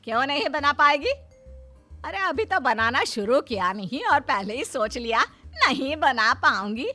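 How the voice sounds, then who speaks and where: A boastful, taunting mother-in-law for a family cartoon. Her high voice swings animatedly as she scolds and nags. Music plays behind her. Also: lively intonation, measured pace, pushed and bright, full character voice. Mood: scolding. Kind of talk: Hindi family cartoon voice acting.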